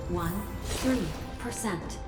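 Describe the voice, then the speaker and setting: A woman voicing an impassive computer system in a game, reciting data announcements in a flat, formal, measured way. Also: lowish voice. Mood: detached alert. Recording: echoey, under loud music.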